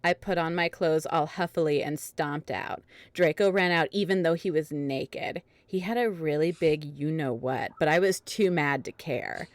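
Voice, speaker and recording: A woman's voice: deep voice, moderate pace, hardly any pauses, dry recording. A woman narrating a comedic dramatic reading of fan fiction.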